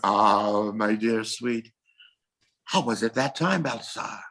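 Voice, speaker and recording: A man's voice: on the low side, slow pace, a bit bright, exaggerated voice. A man playing an ardent, tender young French king in a courtly, theatrical audio drama style.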